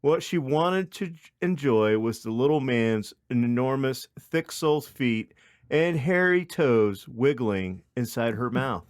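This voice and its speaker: A man's voice: mid-range voice, lively intonation, slow, no echo, studio quality. A man hosting a podcast, reading a comic story in a mock-dramatic narrating style. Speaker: podcast host.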